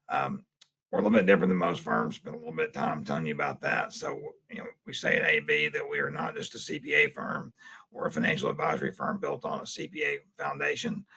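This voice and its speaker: A man with a very raspy, strained voice, giving a webinar presentation as a CPA. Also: extremely low pitch, pushed and bright. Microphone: webinar call. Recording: dull lo-fi webinar audio.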